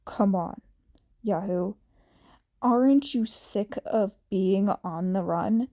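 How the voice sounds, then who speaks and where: A woman's voice: mid-range voice, takes it slowly, mellow. Woman as a goading teenage boy trainee. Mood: taunting. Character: taunting, intense teenage boy hero trainee.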